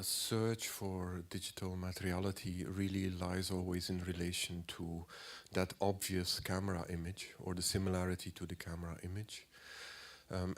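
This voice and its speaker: A man in his forties with a cold, giving a halting lecture as an artist, clearing his throat often and filling pauses. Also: sits low. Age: 40s. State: has a cold.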